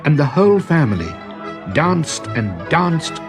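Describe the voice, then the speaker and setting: A man narrating a children's story in a dramatic, deliberate way, with expressive swells and hushes. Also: on the high side, vocal fry. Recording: music behind the voice.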